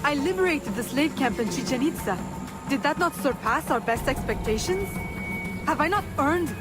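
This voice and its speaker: A woman's voice: high-pitched, animated, moderate pace, over loud music. An actress voicing a determined, defiant young woman assassin in a video game, earnest and insistent. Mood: pleading, defiant. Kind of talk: dramatic video game voice acting.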